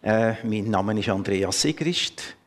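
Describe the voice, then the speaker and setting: A man's voice: lowish voice, slow, big-room sound. A man in his fifties with a Swiss German accent, preaching a sermon in an earnest, conversational way with many fillers. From Canton of Lucerne, Switzerland. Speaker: pastor.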